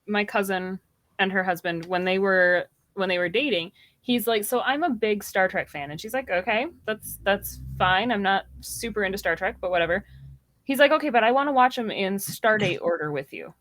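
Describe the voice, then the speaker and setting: Casual, expressive woman podcast guest, dry sound. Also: medium pitch, normal speed, no echo. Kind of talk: casual chat.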